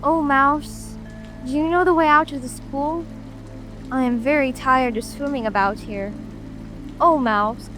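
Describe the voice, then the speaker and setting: A woman's voice: high-pitched, a little slow. A woman playing a polite, curious little girl who speaks earnestly and apologetically in a dramatic audiobook. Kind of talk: dramatic audiobook character reading. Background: music, rain ambience.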